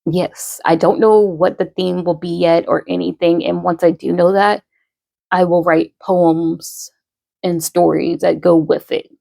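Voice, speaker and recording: Woman in her late thirties, casual chat to followers, very slow rambling delivery, heavy vocal fry, dry close sound. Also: fairly low pitch, little pitch movement, warm tone, dry recording. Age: 30s. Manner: slow, rambling, many fillers and restarts. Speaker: poet and podcaster.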